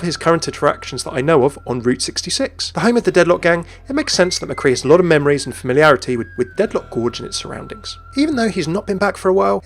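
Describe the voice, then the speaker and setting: A man's voice: high-pitched, breathy edge. A man hosting a gaming video, narrating game lore in a chatty, upbeat way. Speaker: video host.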